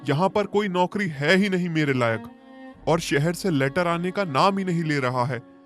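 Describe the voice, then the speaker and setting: A man voicing an earnest, worried educated young village son in an animated moral story. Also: a little high, moderate pace, faint music behind. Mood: downcast.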